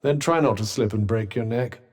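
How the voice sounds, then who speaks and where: An actor playing a wry, sardonic male companion in a game, speaking with crisp, polished diction and a dryly teasing manner. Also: mid-range voice, fairly quick, darkish tone, lightly acted. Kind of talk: game voice lines.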